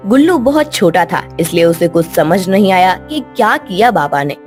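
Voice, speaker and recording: A woman's voice: fairly low pitch, very animated, moderate pace, soft background music. A woman storyteller reading a scripted children's story as narration.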